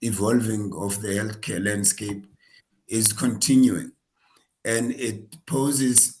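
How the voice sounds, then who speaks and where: A man's voice: fairly low pitch, a little hiss or hum, poor audio. A man introducing the speaker at a webinar, talking with frequent hesitations and restarts. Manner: hesitant, many fillers and restarts. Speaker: association representative introducing the speaker. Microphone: video call.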